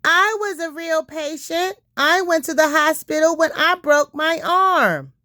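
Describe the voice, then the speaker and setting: A woman's voice: very high-pitched, expressive, measured pace, pushed and bright, dry recording, clean studio sound, pitched above the performer's own voice, full character voice. A woman voicing eager, curious young schoolchildren in a read-aloud storybook, with an earnest childlike delivery.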